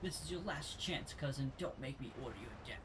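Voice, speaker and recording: A man's voice: mid-range voice, expressive, a little fast, background noise, lightly acted. A man voicing a haughty, ruthless villain, reading game dialogue aloud dramatically. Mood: cold threat. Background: game music.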